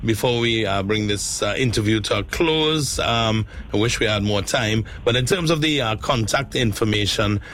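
A Trinidadian man hosting a live radio interview, speaking in a halting way with repeated words and hesitations. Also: lowish voice, fairly bright tone. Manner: hesitant, halting, repetitive phrasing. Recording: clearly noisy background ambience.

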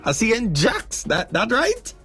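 A man with a Trinidadian accent hosting a radio show, lively and playful, quick to laugh. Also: extremely high pitch, breathy edge, soft background music. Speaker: radio host. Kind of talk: casual radio chat and ad reads.

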